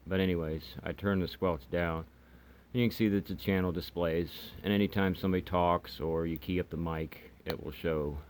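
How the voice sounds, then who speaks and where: A man giving a casual, unscripted hobbyist product demonstration, talking in a hesitant, rambling way. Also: low voice. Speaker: hobbyist video host reviewing radios. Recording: clear background noise.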